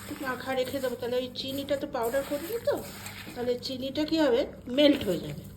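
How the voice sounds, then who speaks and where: A woman's voice: high-pitched, fast talker, heavy background noise. A middle-aged woman with an Indian Bengali accent talks casually and informally, as in a homely cooking vlog, dropping in English and Hindi words. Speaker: home cooking vlogger. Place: indoors at home.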